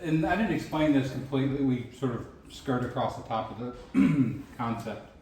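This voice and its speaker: Guy running a comedy writing class, deadpan and sarcastic, echoey room, kinda far from the mic. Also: medium pitch, normal speed. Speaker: comedy writing workshop leader. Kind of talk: running a group writing exercise. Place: crowded room with many people talking. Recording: echoey room, from a distance, light noise.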